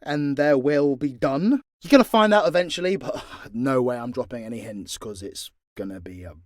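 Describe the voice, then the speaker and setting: A man's voice: medium pitch, expressive, normal speed, no echo, clean studio sound. A British man in a casual, unscripted Q&A as an audio creator, talking bluntly and swearing freely. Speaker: audio drama creator answering fan questions.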